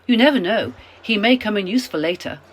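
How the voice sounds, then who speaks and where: A woman's voice: lively intonation, quick pace, natural voice. A woman playing a polite, talkative, slightly apologetic British middle-class traveller in a radio play. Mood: chatty. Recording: faint noise, train rumble.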